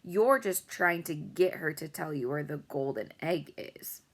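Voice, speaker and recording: A woman voicing a bossy, pushy little girl in a storybook read-aloud, loud and eager. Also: low-pitched, unhurried. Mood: sly.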